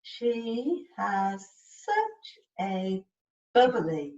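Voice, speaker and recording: A woman giving a one-to-one lesson, coaxing and prompting, repeating words deliberately and spelling out letters one by one on a video call. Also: mid-range voice, huge pitch swings, darkish tone. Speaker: communication support partner helping a learner spell out words. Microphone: video call, away from the microphone. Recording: echoey room, muffled distant mic, faint noise.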